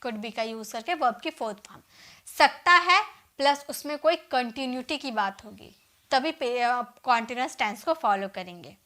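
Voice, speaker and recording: An Indian woman teaching an English grammar lesson, explaining in Hindi and dictating example sentences slowly word by word. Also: on the high side, animated, pushed and bright.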